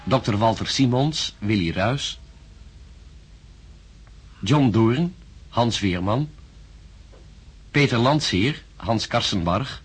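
A man reading radio drama end credits in a formal, deliberate, list-like manner. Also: mid-range voice, expressive, breathy edge. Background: music.

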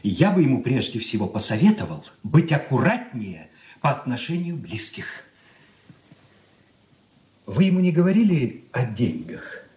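An actor playing a petty, self-important son-in-law in a radio drama, fussy, pompous and querulous. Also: male voice, mid-range voice, very animated, a little slow, long pauses, darkish tone. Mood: fussy, peevish. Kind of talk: Soviet radio drama stage acting. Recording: echoey studio, distant from mic.

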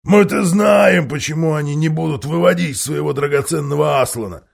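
A man voicing a rough, jeering dwarf leader in an audiobook, shouting loudly. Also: fairly high pitch, moderate pace.

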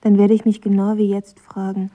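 A woman's voice: mid-range voice, completely flat delivery, fairly quick, phone-quality sound, close to an everyday voice. An actress playing a reserved, wistful young woman in a radio drama, understated and introspective. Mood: wistful, reflective. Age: young adult. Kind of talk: radio drama dialogue and letter reading.

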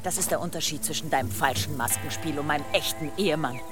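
A woman's voice: low-pitched, quick pace, music in the background, lightly acted. Woman playing a defiant, blunt warrior headwoman. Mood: cold resolve. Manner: blunt, commanding, earthy. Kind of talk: German radio drama acting.

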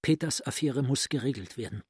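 An actor playing a cultivated, courteous asylum director and earnest younger brother in a radio drama, with a husky, breathy, formal delivery. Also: male voice, mid-range voice, brisk. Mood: shocked, brooding.

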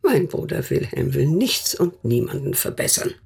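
An actress playing a stern, protective older sister in a German radio drama, speaking bluntly and formally, as if interrogating, with a husky, gravelly voice. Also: female voice, rumbling low, very animated, normal speed, darkish tone, full character voice. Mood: stern, insistent.

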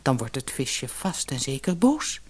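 Woman doing a breathy, timid old fisherman. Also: rumbling low, very animated, a little slow, heavily acted. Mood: fearful. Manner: hesitant, hushed, resigned. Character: meek, timid old bald fisherman, henpecked husband. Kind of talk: fairy-tale audiobook character voice.

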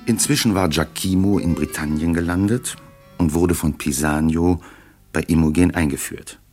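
A man narrating a classical German radio play as a composed storyteller, in measured literary speech. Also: low voice, darkish tone, soft background music, close to an everyday voice. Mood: composed, storytelling. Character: composed male storyteller of a royal tale.

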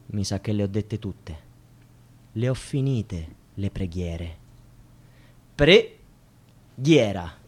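Music bed, young student, radio drama monologue, mid-pitched, bitter, defiant, anguished, halting theatrical declamation. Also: male voice, darkish tone. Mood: mock prayer. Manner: declamatory, halting phrases swelling to outbursts. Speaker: actor in a radio play. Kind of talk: poetic theatrical monologue with wordplay. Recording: music under the voice.

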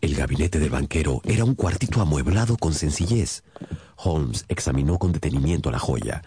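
A man's voice: very deep voice, expressive, moderate pace, dark tone, a little hiss or hum. A man acting as a detective's loyal companion, narrating the case in a composed, dramatic storytelling style for a radio drama. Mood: composed, suspenseful.

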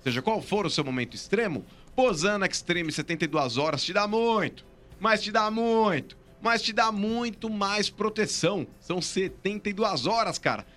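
A man's voice: sits high, animated, moderate pace, a bit bright, faint music behind. A man with a São Paulo Brazilian accent hosting a sports radio show in slangy, matey, casual banter.

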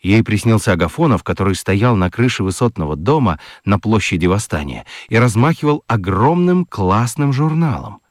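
A man's voice: lowish voice, very animated, moderate pace, hardly any pauses, dry recording, clean studio sound. A man narrating a children's radio story expressively from a script.

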